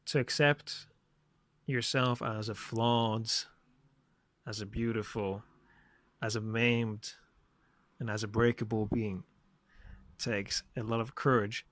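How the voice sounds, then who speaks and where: A man hosting a podcast, giving a reflective solo monologue in deliberate, short, repeated phrases. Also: fairly low pitch, steady tone, fairly bright tone, dry recording. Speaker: podcast host.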